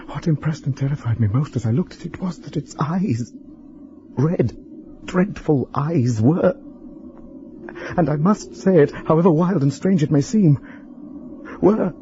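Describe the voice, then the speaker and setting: An actor playing a frightened, educated man in a radio horror drama, speaking formally and haltingly as he writes a letter. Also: mid-range voice. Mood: mounting dread. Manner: formal, measured, halting delivery with weighted pauses. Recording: dull, lo-fi, noisy radio drama recording.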